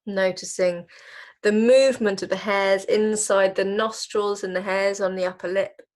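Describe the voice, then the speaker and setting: Woman, medium pitch, yoga teacher, guided relaxation, gentle instruction, unhurried, frequent pauses. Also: steady tone.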